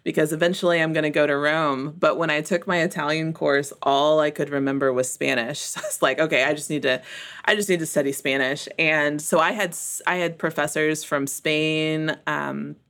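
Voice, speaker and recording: A woman's voice: deep voice, fairly even intonation, moderate pace, a bit bright, clean tone. A woman from the United States with an American accent, answering conversationally as an interview guest and often laughing as she talks. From Memphis, United States. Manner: laughs often while talking. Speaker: interview guest in church ministry.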